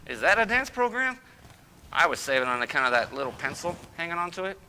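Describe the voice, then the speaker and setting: A man's voice: fairly high pitch, sing-song, brisk, pushed and bright, exaggerated voice. A man playing a folksy, stubborn hoarder of a husband in old-time radio comedy style, blustering and exclamatory. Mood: sheepish, chatty. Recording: reverberant hall, noisy live stage recording.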